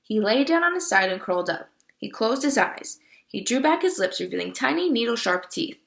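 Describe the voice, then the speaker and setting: Woman, read-aloud storyteller, explanatory manner, strikingly bright tone, casual asides. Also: on the high side, very animated, brisk, creaky. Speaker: reader commenting on the book.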